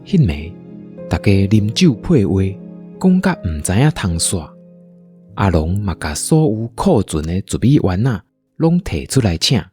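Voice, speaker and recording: A man's voice: on the low side, very animated, measured pace, a little mellow, soft background music. A man with a Taiwanese accent narrating a scripted radio drama as a storyteller.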